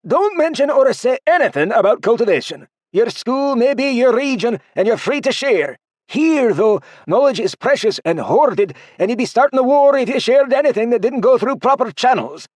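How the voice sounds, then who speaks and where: A man's voice: very high-pitched, expressive, moderate pace, a little mellow, dry recording, clean studio sound, pitched above the performer's own voice. A man voicing a stocky, harried male dwarf leader who talks in a bossy, pleading, rustic way. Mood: urgent.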